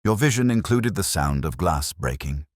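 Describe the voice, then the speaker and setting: A man's voice: deep voice, very animated, normal speed, dry recording. A man voicing a precise, commanding male detective with dry wit and a clipped manner in an audiobook. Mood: dry, probing. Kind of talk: audiobook character dialogue.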